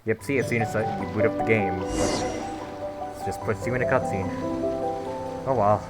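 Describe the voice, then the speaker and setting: A man's voice: fairly low pitch, moderate pace, over loud music. A young man casually commentating over a game he is playing, rambling with lots of fillers and snarky asides. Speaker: gameplay commentator. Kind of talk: casual let's-play commentary.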